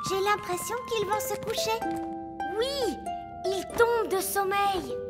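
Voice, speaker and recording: Young fairy princess: earnest, tiny high voice, kids' cartoon, prominent music underneath. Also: female voice, takes it slowly. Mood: gentle. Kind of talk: children's cartoon dub. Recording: music behind the voice.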